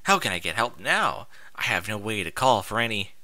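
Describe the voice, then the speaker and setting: Animated, very bright-voiced man reading a story. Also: fairly low pitch, fairly quick, dry recording. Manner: expressive, informal, sweary interjections. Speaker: fan fiction reader. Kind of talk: animated first-person story narration with ad-libbed asides.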